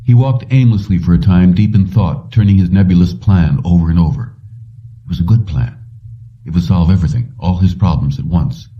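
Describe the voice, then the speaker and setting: A man's voice: deep voice, a little fast. A man reading a short story as scripted audiobook narration. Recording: dull lo-fi, distant from mic.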